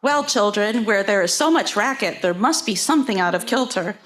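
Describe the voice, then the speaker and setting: A woman's voice: mid-range voice, a little fast, fairly bright tone, close to an everyday voice. A woman reading a historic speech as a fiery, plain-spoken formerly enslaved woman preacher, with folksy, emphatic rhetoric. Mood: wry, folksy.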